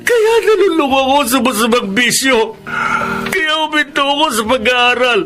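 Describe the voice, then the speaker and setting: An actor playing a resentful young man who shouts and breaks into tears, in a radio drama with music underneath. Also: very high-pitched, expressive, normal speed. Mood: anguished. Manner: shouting, tearful outbursts. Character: angry young man, resentful rebellious son.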